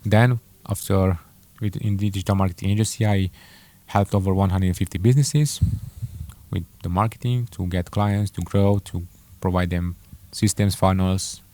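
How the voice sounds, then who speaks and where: A young man with a Romanian accent telling his own story to camera, halting and correcting himself with many fillers. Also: low voice, fairly even intonation, warm tone. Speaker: entrepreneur vlogger. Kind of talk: casual personal story to camera.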